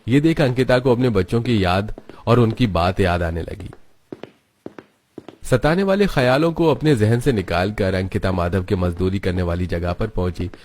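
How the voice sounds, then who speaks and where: A man's voice: lowish voice, animated, moderate pace, darkish tone. A man narrating a scripted children's story as the storyteller.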